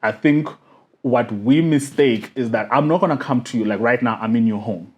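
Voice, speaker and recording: A man, a podcast guest talking casually and telling stories with lively animation. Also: mid-range voice, normal speed, breathy edge, muffled recording. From South Africa. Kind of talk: casual conversation.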